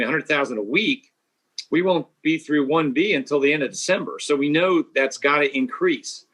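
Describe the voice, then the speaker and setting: A man speaking as a state public health official on an expert panel, laying out policy in a fast, deliberate way with frequent restarts. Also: a little high, bright, forceful tone, poor audio.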